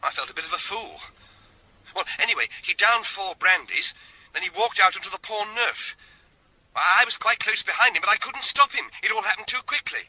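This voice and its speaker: An actor playing an eager, slightly abashed junior police detective making an earnest report in a radio drama. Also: male voice, high voice, lively intonation, brisk, sharp tone, no room sound, exaggerated voice, poor audio. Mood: earnest, flustered.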